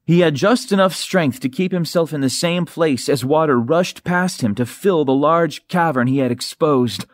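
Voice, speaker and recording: A man reading an audiobook as a narrator, with dramatic, expressive and suspenseful delivery, close to a studio microphone. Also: on the high side, normal speed, hardly any pauses, no room sound. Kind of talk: dramatic scripted fiction narration.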